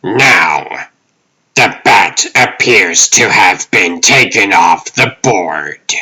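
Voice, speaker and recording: Casting: male actor as genteel, gloating comic-book gangster, low pitch, husky, wry drawl. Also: lively intonation, very slow, bright, forceful tone, exaggerated voice, low-quality recording. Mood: dry, needling. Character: calm, cocky penguin-like male crime boss, gloating. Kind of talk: comic dub acting.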